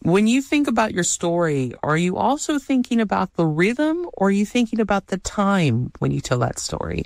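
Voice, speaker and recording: A panel moderator whose first language is Spanish, asking guests measured questions. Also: mid-range voice, sing-song, vocal fry, dry recording, studio quality. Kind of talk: panel discussion.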